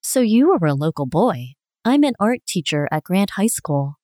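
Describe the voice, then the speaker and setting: A woman reading her parts in a scripted dialogue for a language listening test, clear and expressive. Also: fairly low pitch, normal speed, no echo, clean studio sound.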